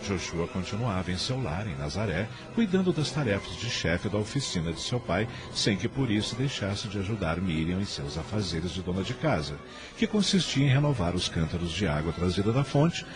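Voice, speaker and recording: Deep, expressive Brazilian man narrating. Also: normal speed, few pauses. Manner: solemn, literary. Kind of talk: scripted dramatic radio serial narration. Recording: music bed under narration.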